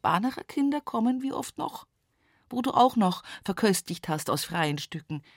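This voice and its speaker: A woman's voice: fairly high pitch, sing-song, normal speed, gravelly, full character voice. An actress playing a wry country woman with a Bavarian accent, talking intimately to her mother in a radio play monologue. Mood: pleading, insistent. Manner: folksy, addresses her mother directly. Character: rural Upper Palatinate woman reminiscing at mother's bedside, wry.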